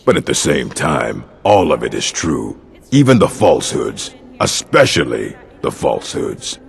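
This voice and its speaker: An actor playing a gruff older male orc librarian in a video game, dry, weary and curt, with a gravelly, husky voice. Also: a bass voice, big pitch swings, measured pace, heavily acted. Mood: lecturing. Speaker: game character. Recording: long hall reverb.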